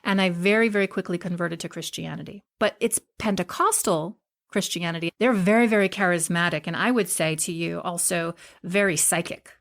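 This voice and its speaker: A middle-aged woman from Hawaii speaks as a podcast guest, with a slightly low voice that has a gravelly edge of vocal fry. She is candid and relaxed in conversation and often laughs through her stories. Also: brisk. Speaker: podcast guest, spiritual teacher. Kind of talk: casual interview conversation.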